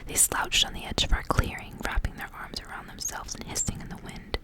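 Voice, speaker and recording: Whispering woman reading a story as ASMR. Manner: soft unvoiced whisper, hushed and deliberate, phrase by phrase.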